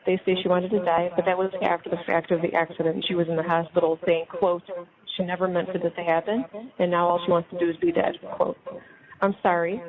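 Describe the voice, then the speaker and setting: Middle-aged woman, American accent, indignant halting read-aloud, thin telephone sound, background chatter. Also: lowish voice. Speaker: mother on a jail phone call. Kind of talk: casual phone talk and halting reading aloud. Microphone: speakerphone. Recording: muffled phone line on speakerphone, chatter behind.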